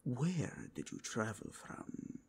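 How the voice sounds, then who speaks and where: Casting: courteous, self-assured inquisitor cardinal, mixed foreign accent, husky, slow, deliberate delivery for fantasy audio roleplay. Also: male voice, medium pitch, wildly swooping pitch, exaggerated voice. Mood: probing, courteous. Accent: mixed, wandering foreign accent. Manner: formal, deliberate, probing, with theatrical pauses.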